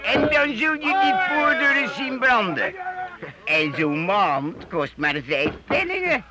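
A man's voice: very high voice, big pitch swings, slow, full character voice. An actor in a Dutch radio play playing a thrifty, grumbling medieval master craftsman. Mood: practical, shrewd. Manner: penny-pinching, fussy. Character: thrifty, grumbling medieval master cooper leading townsmen in a siege. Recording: very noisy old radio recording.

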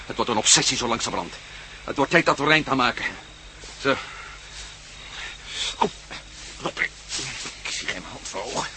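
An actor playing a wary, grumbling adventurer in a radio drama, muttering bluntly and cautiously. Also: male voice, mid-range voice, expressive, normal speed, pauses often, pushed and bright, slight background noise. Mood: grim, wary. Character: wary, grumbling male adventurer creeping about at night.

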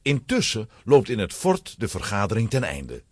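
Husky, highly animated male storyteller. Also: moderate pace, no room sound, clean studio sound. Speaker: narrator. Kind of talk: scripted children's story narration.

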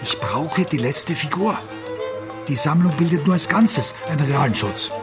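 A man's voice: on the high side, lively intonation, moderate pace, natural voice. An actor playing an obsessive, haunted man with an insistent manner in a radio crime play. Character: obsessive, haunted alcoholic man pursuing a collection. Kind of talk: German radio drama acting. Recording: music behind the voice.

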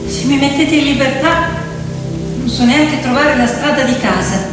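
A woman's voice: high-pitched, expressive, moderate pace, recorded from a distance, voice pushed up. A woman playing a poor rice-field worker, a mother who is plain-spoken, slyly naive and beseeching, on stage in an echoey hall. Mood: feigned innocence. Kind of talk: theatrical stage acting. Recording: echoey hall from afar with music.

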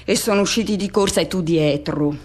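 An actress performing a radio theatre monologue as an anguished person haunted by a lost love, speaking intensely in short, broken, repeated phrases. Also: female voice, fairly low pitch, a little fast, a little mellow, close to an everyday voice. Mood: accusing, hurt.

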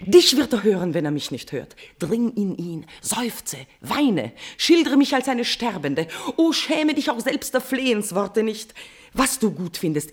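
An actress playing a guilt-ridden queen in a classical tragedy, declaiming verse with a husky voice, impassioned and swinging between hush and outcry. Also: mid-range voice. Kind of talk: declamatory classical German stage verse acting.

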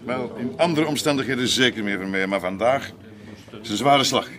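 A man's voice: mid-range voice, a crowd in the background, close to an everyday voice. Formal Flemish museum curator in an echoing hall, acted by a man. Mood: grave. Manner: formal, measured, evasive. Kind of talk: naturalistic audio drama acting. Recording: echoing hall, background noise.

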